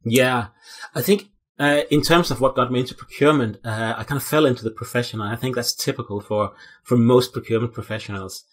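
A middle-aged man with a Danish accent, a guest on a video call, speaking in a measured, thoughtful way with some hesitations. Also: mid-range voice, husky. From Denmark. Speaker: guest, procurement consultant. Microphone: headset on a video call.

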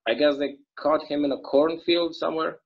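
A man's voice: a little high, fairly even intonation, normal speed, gravelly, slightly rough. A man reading the part of a chatty small-town bartender who rambles through his stories, in a video-call table read. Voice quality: easygoing, rambling storyteller. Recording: dull lo-fi video call audio.

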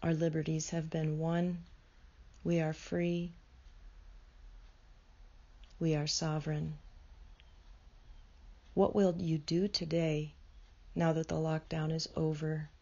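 A woman leading a guided meditation in a slow, soothing way, often dropping into soft whispers. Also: sits low, little pitch movement, lo-fi sound.

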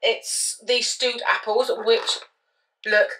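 Young woman chatting to her camera at home, highish sharp voice, blunt, says things twice. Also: level tone, slow, slight background noise, rough recording. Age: young adult. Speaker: vlogger. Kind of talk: casual chat to camera. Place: at home indoors, living room and kitchen.

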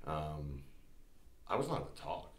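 Very deep-voiced man, easygoing storyteller. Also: measured pace. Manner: relaxed, many fillers, laughs while telling stories. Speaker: podcast guest, former Green Beret. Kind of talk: casual interview storytelling. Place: small studio set at a table. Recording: echoey studio room.

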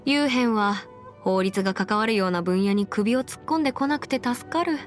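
A woman's voice: mid-range voice, expressive, brisk, natural voice. Woman voicing a self-assured young anime lawyer. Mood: composed, musing. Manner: crisp, self-assured, formal mannish phrasing. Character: confident, brisk young female half-immortal lawyer. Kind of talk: scripted anime game character voice lines. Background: background music.

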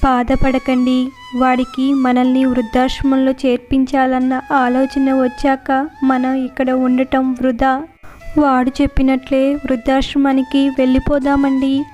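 A devoted old wife and mother in a moral story, acted by a woman. She sounds high-pitched, worried and plaintive, with quiet music behind her. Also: completely flat delivery, unhurried, pauses often. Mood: sad. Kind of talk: storybook dialogue.

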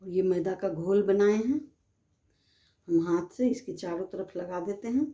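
A woman's voice: fairly low pitch, unhurried, warm tone, muffled recording. A woman hosting a home cooking channel, explaining each step plainly in her kitchen. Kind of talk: home cooking demonstration voice-over.

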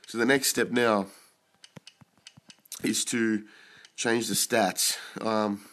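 A young man talks over his mobile game in a slow, lowish voice. He is sick, and frequent throat-clearing roughens his casual commentary. He gets excited and curses when the pulls go his way. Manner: excited, swears at big pulls.

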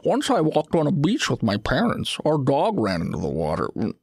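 Man playing a hesitant, gravelly closet monster. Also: mid-range voice, huge pitch swings, normal speed, a little mellow, no room sound, studio quality, full character voice. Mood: uncertain, reflective. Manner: hesitant, mild, conversational. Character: male closet monster, hesitant, polite, tentacled child-eater. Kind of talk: acted audio story dialogue.

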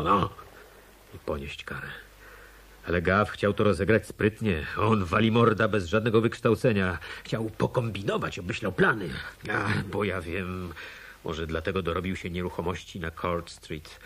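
Low, husky, guilt-laden avenger. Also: male voice, fairly bright tone. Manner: bitter, confessional. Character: guilt-laden, bitter male hotel auditor turned avenger. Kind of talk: radio play acting.

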